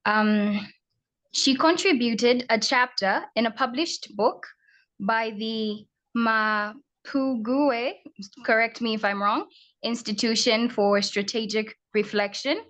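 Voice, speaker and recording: A woman's voice: on the high side, slow, a bit bright. A woman taking part in a speaking workshop over a video call, hesitant and apologetic as she reads. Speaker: workshop participant.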